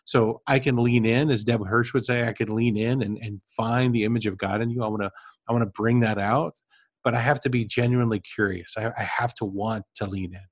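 A man's voice: mid-range voice, fairly even intonation, fairly quick, dry recording. Man giving reflective examples, dry sound. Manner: reflective, explains with personal examples. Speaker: podcast co-host. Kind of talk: casual discussion.

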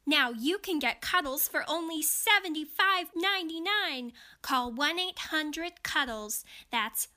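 Woman doing chirpy sing-song toy ad voice, super perky, high. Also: expressive, unhurried, hardly any pauses, sharp tone, exaggerated voice. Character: chirpy female toy commercial announcer selling teddy bear.

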